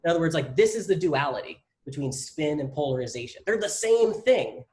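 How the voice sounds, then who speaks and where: A man's voice: a little high, expressive, a little fast, darkish tone. A young man with a South Jersey accent, casually explaining physics at a meetup, rambling with many fillers. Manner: rambling, heavy use of "like" fillers. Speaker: meetup presenter.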